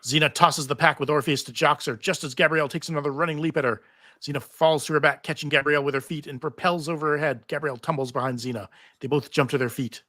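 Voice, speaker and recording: Casting: male narrator for script stage directions, forceful bright tone, slightly high pitch. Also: steady tone, fast talker. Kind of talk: scripted narration at a live table read.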